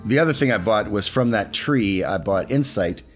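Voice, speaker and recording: A man talking casually as a player in a tabletop game, halting and correcting himself as he goes. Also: lowish voice, lively intonation, soft background music.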